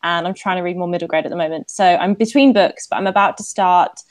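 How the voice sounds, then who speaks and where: A woman's voice: lowish voice, quick pace, dry recording, studio quality. A woman chatting casually as she introduces herself as a contestant on a livestream. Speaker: game show contestant, book vlogger.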